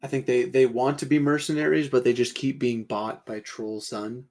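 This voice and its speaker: A man's voice: medium pitch, flat delivery, normal speed, darkish tone. A man co-hosting a podcast in casual, laid-back chat, giving short offhand remarks. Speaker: podcast co-host.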